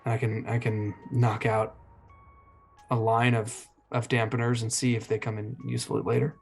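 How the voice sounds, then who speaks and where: Man, gravelly creak, average pitch, casual roleplay, game player, music faintly behind. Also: flat delivery, normal speed.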